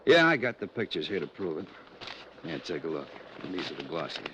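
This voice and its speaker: An actor playing a matter-of-fact, dry police lieutenant with a businesslike, questioning manner in a 1950s radio drama. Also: male voice, sits low, very animated, fairly quick. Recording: old radio recording, faint noise.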